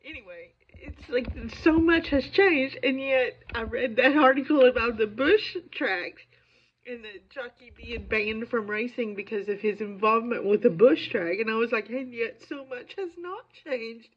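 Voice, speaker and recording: A woman with a lingering cold, talking to viewers as a poetry video host in a casual, digressive, self-deprecating monologue with a creaky voice. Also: fairly high pitch, unhurried. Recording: dull lo-fi audio.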